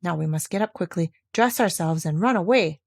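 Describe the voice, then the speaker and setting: A woman's voice: fairly low pitch, fairly quick, vocal fry, darkish tone, voice pushed down. A woman voicing a devoted little girl in a fairy tale, speaking with earnest, pleading, old-fashioned solemnity. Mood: urgent.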